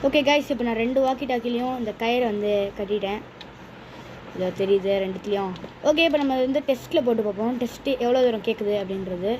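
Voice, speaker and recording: A woman's voice: fairly high pitch, quick pace, a little mellow, a little hiss or hum, rough recording. A woman chattily explaining a product step by step to viewers in a casual vlog. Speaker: vlogger presenting a product unboxing.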